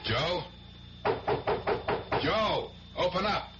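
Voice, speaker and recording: An actor playing a gruff, protective older pal in a 1970s radio drama, speaking in a blunt, colloquial, avuncular way. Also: male voice, high-pitched, expressive, drawn-out words, highs cut off, heavily acted. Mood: concerned, coaxing. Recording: noisy, band-limited 1970s radio broadcast.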